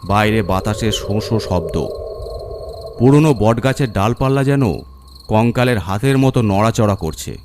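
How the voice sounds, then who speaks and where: A man narrating a scripted horror story in a suspenseful, deliberate manner. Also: lowish voice, animated, a little mellow, a little hiss or hum.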